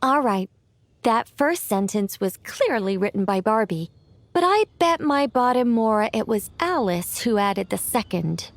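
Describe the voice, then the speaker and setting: A woman's voice: on the high side, sing-song, unhurried. An actress playing a whimsical, composed female mage in a video game, with a leisurely, musing and playfully evasive manner. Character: whimsical, composed female mage, once an angel, young-sounding. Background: background music.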